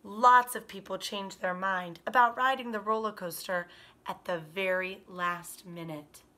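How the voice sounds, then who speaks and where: Woman doing storytime for little kids, bright voice, takes her time, stretches words out for effect. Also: mid-range voice. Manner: animated, expressive, drawn-out emphasis on words. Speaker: kindergarten teacher. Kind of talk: picture book read-aloud for children. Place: small living room by a fireplace, indoors.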